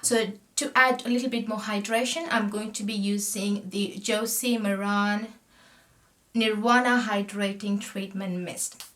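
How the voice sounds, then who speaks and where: A woman's voice: mid-range voice, fairly even intonation, a little slow, a bit bright. Chatty woman from Sri Lanka vlogging. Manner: talkative and friendly, often trailing off while working. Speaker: makeup vlogger. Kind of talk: chatty makeup tutorial. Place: small indoor room, plain backdrop. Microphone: camera facing her at close range.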